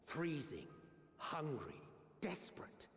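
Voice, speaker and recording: An actor playing a solemn chronicler, narrating a bleak history in a measured, literary, dramatic manner. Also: male voice, fairly high pitch, big pitch swings, fairly bright tone, full character voice. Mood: solemn, brooding. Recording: echoey hall reverb, music behind.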